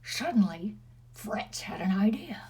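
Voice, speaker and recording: A woman reads a children's storybook aloud at an unhurried pace. She is expressive and slips into chatty, teasing remarks to her young listeners. Faint noise sits behind her voice. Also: medium pitch.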